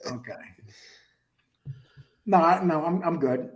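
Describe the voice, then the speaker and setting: A man's voice: on the high side, takes it slowly, a loud crowd. A man from New York in casual crosstalk chat, brash and profane, often laughing at himself. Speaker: show guest.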